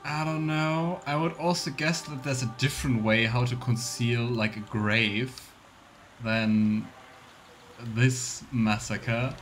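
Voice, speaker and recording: A man's voice: medium pitch, steady tone, slow, a bit bright. A man giving casual, musing let's play commentary on a role-playing game, with a wry, sardonic manner. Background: game music.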